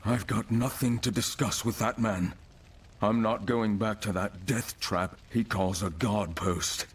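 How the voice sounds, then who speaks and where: A surly male deserter with a low, husky voice and slow delivery. He is curt, defiant and scornful, a guard in a video game. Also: fairly even intonation. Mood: surly defiance.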